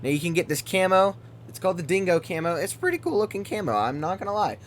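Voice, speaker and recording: Fast-talking man reviewing a game tank. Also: sits high, sing-song, a little hiss or hum. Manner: fast-talking, loose and chatty. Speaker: gaming channel host.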